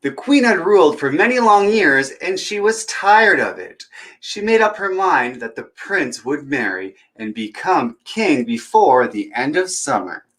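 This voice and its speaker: Expressive man with a lively, swooping voice. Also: a little high, a little slow. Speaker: children's storytime host and reader. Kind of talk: chatty introduction and animated picture-book reading aloud.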